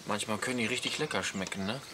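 An actor playing a middle-aged camper husband with a dry, smugly teasing way of talking in a German radio comedy. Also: male voice, fairly low pitch, fast talker, heavy background noise, lightly acted. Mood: hungover, dry.

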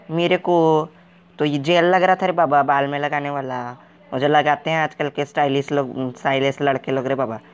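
A man's voice: on the high side, clean tone, muffled recording, close to an everyday voice. A man putting on a bumbling talking game wanderer. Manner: ends nearly every line with a drawn-out "re baba" catchphrase, jumpy and naive. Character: clueless, excitable uneducated man wandering a game. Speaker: gaming video character. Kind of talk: comic game commentary in character.

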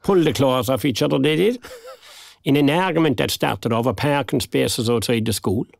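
A male actor as a recently retired Irishman with a gravelly edge to his voice. He talks colloquially and with wry good humour, in a naturalistic audio drama, recorded close and dry. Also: mid-range voice, moderate pace, no echo, lightly acted. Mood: amused gossip. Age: older.

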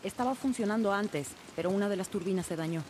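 An actress playing a firm middle-aged woman who leads a settlement in a dubbed video game, brisk and welcoming. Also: mid-range voice, noisy background, lightly acted. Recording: game audio over clear music.

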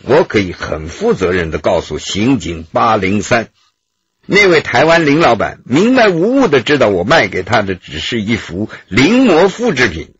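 Glib, wheedling art dealer, radio crime drama. Hoarse-edged, highly animated delivery. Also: male voice, moderate pace, dry recording, dull audio, full character voice. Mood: smug. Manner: wordy, wheedling, self-justifying. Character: slippery, glib male art gallery owner under questioning. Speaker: actor in a police radio drama. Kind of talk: Chinese radio drama acting.

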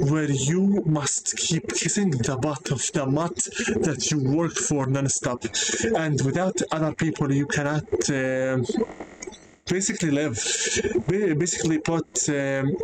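A man's voice: on the high side, a little slow. A young man, not a native English speaker, delivering an earnest personal vlog monologue with frequent hesitations and self-corrections. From Canada. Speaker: vlogger. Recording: noisy background.

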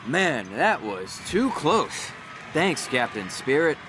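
An actor playing a middle-aged single father in a video game, a tired beer-drinking dad with a gruff, weary voice and a drawling, half-teasing manner that turns snappish. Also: on the high side, big pitch swings, slow, background noise. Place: living room in a house, game scene.

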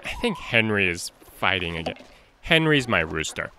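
A man's voice: low-pitched, very animated, moderate pace, pushed and bright, a little hiss or hum. A man, perhaps in his thirties, hosting a casual step-by-step drawing lesson for children in a playful way, talking to himself as he draws. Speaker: drawing tutorial host for children.

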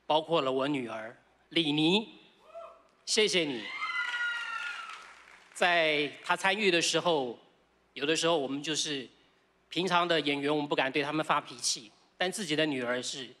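A man's voice: a little high, bright, forceful tone. A man in his fifties with a Taiwanese accent, giving an award acceptance speech into a stand microphone on a large stage. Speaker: award winner.

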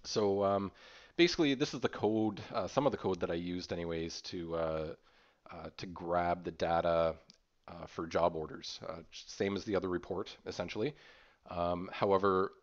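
A man presenting an informal screen-share software tutorial in a hesitant, explanatory way. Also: low voice, steady tone, moderate pace. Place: voice-over recorded over screen capture. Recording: dull, muffled lo-fi audio.

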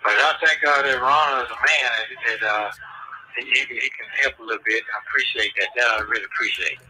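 A man's voice: mid-range voice, fast, background noise. A man chatting casually on a tinny jail phone call, often repeating his phrases. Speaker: caller from jail. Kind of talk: casual private chat.

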